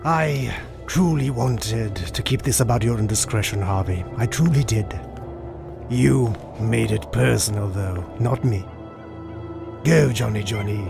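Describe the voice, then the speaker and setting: A man's voice: on the low side, measured pace, gravelly. A man voicing a sadistic, theatrical supervillain clown in a motion comic, drawling and mocking with menace and sudden outbursts. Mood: cold menace. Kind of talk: dramatic motion comic voice acting. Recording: music behind the voice. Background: music.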